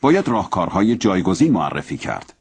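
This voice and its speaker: An actor playing a cool Western theorist of subversion, lecturing formally in a propaganda drama. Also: male voice, low-pitched, very animated, breathy edge, exaggerated voice. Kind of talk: scripted propaganda film acting.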